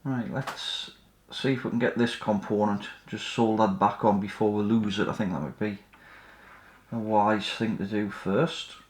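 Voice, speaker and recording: A man's voice: on the low side, steady tone, measured pace. A man giving casual hands-on repair commentary, tentatively thinking aloud as he works. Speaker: host of an electronics repair channel. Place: indoors at a repair workbench. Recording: roomy, echoey indoor sound.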